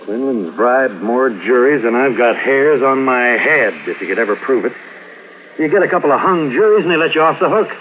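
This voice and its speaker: An actor playing a gruff, wry police lieutenant in a 1950s radio drama, questioning in a dry, clipped way. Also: male voice, mid-range voice, expressive, normal speed, close to an everyday voice. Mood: pressing, animated. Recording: noisy, phone-like vintage radio recording.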